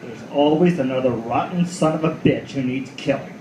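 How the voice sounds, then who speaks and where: A man's voice: medium pitch, moderate pace, close to an everyday voice. A man playing a cynical, world-weary detective who narrates his own noir radio play with profane, sardonic asides. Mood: bitter, cynical. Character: hardboiled cynical male detective at the world's end. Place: live reading in a roomy venue. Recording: roomy live recording with faint noise.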